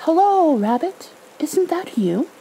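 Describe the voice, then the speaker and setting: Woman doing a naive, soft, lilting storybook bear. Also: very high-pitched, sing-song, takes it slowly, slight background noise, voice pushed up, exaggerated voice, rough recording. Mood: puzzled. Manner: simple, earnest, humming to himself. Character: naive, friendly, hungry male toy bear.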